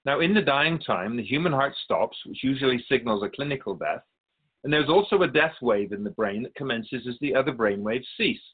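A man playing a patient, all-knowing keeper of souls in a radio drama, explaining things in a measured, gently wry way. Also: mid-range voice, phone-quality sound, close to an everyday voice. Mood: wise, gently wry. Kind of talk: scripted radio drama dialogue.

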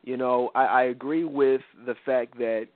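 A man's voice: medium pitch, fairly even intonation, normal speed, dry recording. Congested guy hosting a talk show, rambles a lot, tinny dry audio. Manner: rambling, many restarts and fillers. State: congested from a cold. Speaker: podcast host. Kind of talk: casual radio-show talk. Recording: tinny, dry phone-like sound.

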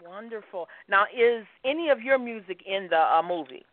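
A woman's voice: medium pitch, animated, moderate pace, no echo. A woman hosting an interview, asking questions eagerly and hunting for words with plenty of "um"s. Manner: enthusiastic, searching for words with many "um"s. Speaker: host. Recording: tinny phone-like sound, dry.

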